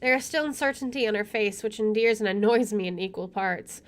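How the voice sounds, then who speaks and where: Casting: female let's-player, mid-pitched, narrating visual novel prose with wry, offhand asides. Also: fairly quick. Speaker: video game streamer. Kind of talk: reading game text aloud with casual commentary.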